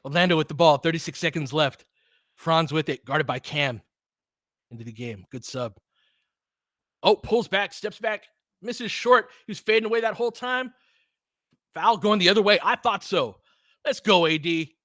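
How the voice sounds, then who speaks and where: A man doing live basketball play-by-play as an excitable, partisan fan, chatting casually with his viewers. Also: on the high side, moderate pace, long pauses, dry recording, studio quality. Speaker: fan play-by-play commentator, streamer.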